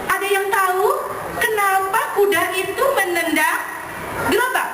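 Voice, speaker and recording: A patient lady schoolteacher , as a woman storyteller plays her, high-voiced, gentle and reassuring. The live storytelling comes through echoey, with clear noise behind. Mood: gently questioning. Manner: soft-spoken, slow, reassuring.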